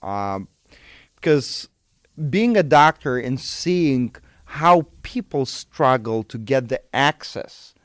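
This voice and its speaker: A man's voice: mid-range voice, expressive, slow, fairly bright tone, no room sound. A middle-aged man from Ukraine with a Ukrainian accent, explaining things emphatically in a conversational interview as a guest surgeon.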